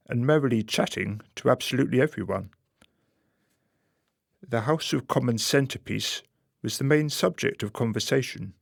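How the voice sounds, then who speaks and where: A man narrating a scripted radio play in a wry, measured storytelling manner. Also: medium pitch, gravelly, dry recording, studio quality.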